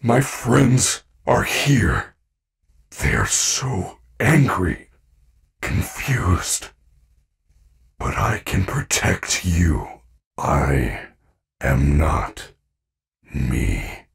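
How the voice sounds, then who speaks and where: A man voicing a gentle, earnest animatronic bear in a video game, speaking formally and carefully with few contractions. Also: low voice, sing-song, drawn-out words, vocal fry, pauses often, husky, recorded from a distance, full character voice. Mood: solemn, sorrowful.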